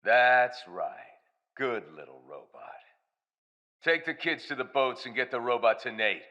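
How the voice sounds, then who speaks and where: A man's voice: medium pitch, big pitch swings, measured pace, sharp tone, lo-fi sound. A man playing a menacing pirate leader in an audio drama, smug, taunting and commanding.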